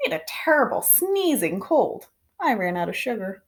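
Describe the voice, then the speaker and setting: A woman's voice: mid-range voice, very animated, moderate pace, natural voice. A woman voicing a folksy, self-justifying male wolf in a children's storybook read-aloud, confiding and conversational with mock innocence.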